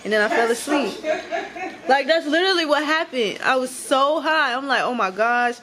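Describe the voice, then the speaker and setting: A woman's voice: sits high, animated, moderate pace, pushed and bright, a little hiss or hum, rough recording. A twenty-year-old woman vlogging at a hair salon, chatting casually and playfully to the camera in slang. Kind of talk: casual vlog chat to camera.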